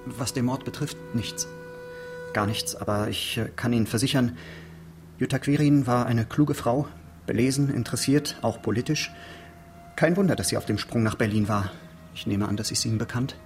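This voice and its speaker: A man's voice: mid-range voice, moderate pace, faint music behind, close to an everyday voice. An actor playing a fussy, eager busybody of a male neighbour in a radio crime drama, precise, hesitant and mildly pompous. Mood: politely self-important. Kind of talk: naturalistic German radio crime drama acting.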